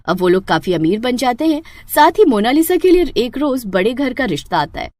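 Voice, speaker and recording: Animated woman storyteller. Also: a little high, moderate pace, dry recording. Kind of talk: scripted Hindi moral-story narration.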